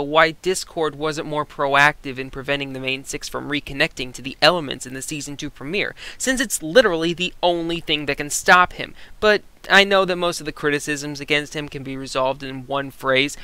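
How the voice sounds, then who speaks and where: A man with a fairly high, forceful voice delivers conversational review commentary. He is animated and emphatic, and his voice is crisp, recorded dry and close. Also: dry recording. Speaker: co-host reviewer.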